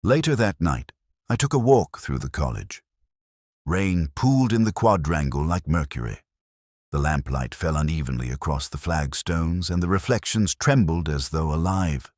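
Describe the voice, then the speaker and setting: Very deep-voiced man narrating detective fiction. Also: expressive, darkish tone, dry recording, clean studio sound. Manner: measured, literary, reflective delivery. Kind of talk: scripted first-person detective story narration.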